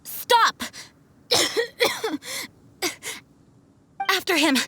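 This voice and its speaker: A woman playing a dutiful young knight in a video game, speaking in an earnest, formal and measured way. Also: very high voice, big pitch swings, pushed and bright, full character voice. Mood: determined, urgent.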